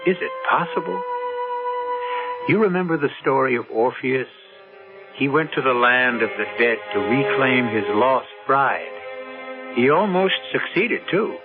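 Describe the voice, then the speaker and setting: A man's voice: mid-range voice, animated. Muffled radio mystery host, a man. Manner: deliberate, rhetorical, suspenseful. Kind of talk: dramatic scripted narration. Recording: muffled old radio broadcast, music behind.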